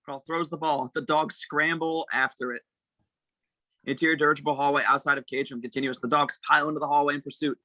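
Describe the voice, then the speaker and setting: A man's voice: fairly high pitch, fairly even intonation, fast talker, sharp tone, no echo. A man reading stage directions as scripted narration at an informal table read.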